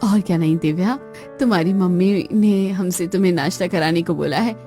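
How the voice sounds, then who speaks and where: A woman playing a warm, hospitable young village daughter-in-law in an animated story, polite and expressive. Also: on the low side, a little fast, soft background music, close to an everyday voice. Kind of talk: animated moral story dialogue.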